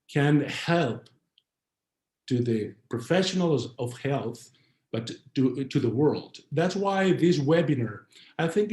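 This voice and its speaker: A man speaking as a seminar organiser, giving a formal welcome in short, halting phrases. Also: medium pitch, dark tone. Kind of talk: welcome address. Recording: echoey room sound.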